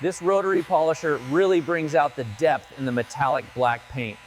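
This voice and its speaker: Male shop worker, slightly high voice, brief practical remarks, music clearly behind. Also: fairly even intonation, normal speed, low-quality recording. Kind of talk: short spoken workshop remarks.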